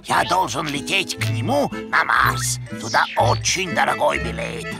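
A man voicing a thrifty male penguin inventor who speaks with a German accent and broken grammar, over loud cartoon music. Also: on the high side, expressive, measured pace, gravelly. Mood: earnest.

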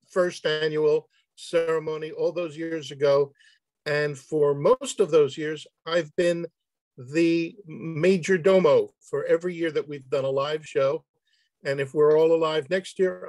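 A man's voice: a little high, a little mellow, no echo. A middle-aged man introducing himself somewhat formally on a video call as a regular of the show. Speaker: regular show participant, major-domo. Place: indoor room on video call.

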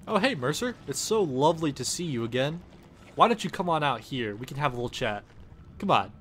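A man's voice: fairly high pitch, sing-song, brisk, a bit bright, slight background noise. A man doing a sarcastic, profane comedic dub as the lead of a game parody. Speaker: host of abridged game parody, voicing the lead. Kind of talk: comedic character dub over gameplay.

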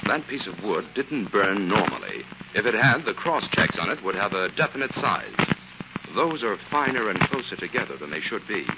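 An actor playing a calm, analytical scientist crime-fighter, explaining with assurance in a 1940s radio drama. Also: male voice, lowish voice, moderate pace. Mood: assured. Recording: muffled, very noisy 1940s radio recording.

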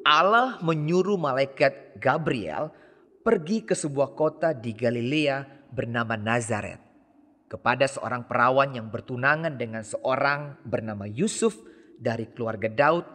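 A man reading a gospel passage aloud in a slow, measured, reverent way. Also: medium pitch, animated, fairly bright tone. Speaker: scripture reader. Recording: long hall reverb.

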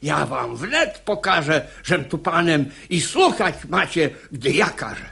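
A man's voice: fairly high pitch, very animated, a little slow, vocal fry, pushed and bright, heavily acted. An actor playing a hot-tempered, gullible, domineering father in a verse radio comedy, blustering and declaiming theatrically.

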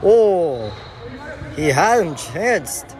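A man giving excitable play-by-play commentary while filming a soccer match on a phone from the sideline of a large indoor hall. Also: on the high side, extremely slow. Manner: excitable, exclaiming at each chance. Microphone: phone camera filming from the sideline. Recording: noisy phone recording in a big hall.

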